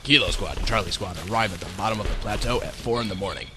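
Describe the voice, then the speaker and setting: A man's voice: lowish voice, fast. A man reading scripted narration for an amateur radio war drama. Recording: noisy amateur recording.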